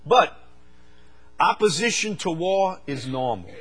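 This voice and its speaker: An older man from the United States preaching a sermon, swinging from loud, fervent stretches to quiet asides. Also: on the high side, big pitch swings, a little slow, slightly rough.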